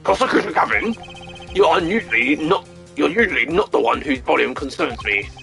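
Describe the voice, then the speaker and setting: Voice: mid-range voice, very animated, brisk, vocal fry, a bit bright, soft background music. A streamer voicing a fussy older male judge in a courtroom video game, scolding in a flustered way.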